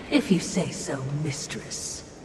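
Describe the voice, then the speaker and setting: Sly, slow sardonic spirit. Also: female voice, fairly low pitch, full character voice. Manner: sly, sarcastic, unhurried. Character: sly, sardonic female spirit servant, falsely deferential. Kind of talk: dramatic video game acting. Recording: echoey, distant, noisy game mix.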